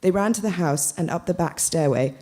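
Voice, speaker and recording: Female literary reader, narrating prose, on the low side, warm and dark in tone. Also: brisk.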